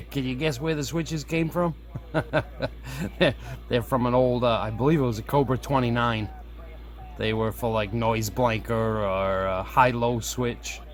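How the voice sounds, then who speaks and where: A man giving a casual hands-on demo, thinking aloud in an easygoing way as he presents his hobby project. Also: medium pitch. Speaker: hobbyist presenter showing a radio modification. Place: indoors at a workbench. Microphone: camera on a tripod nearby. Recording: steady background noise, room ambience.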